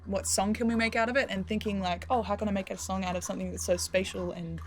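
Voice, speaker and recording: A woman's voice: mid-range voice, steady tone, quick pace. A woman singer, chatting enthusiastically with many fillers in an interview. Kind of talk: casual interview. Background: faint music.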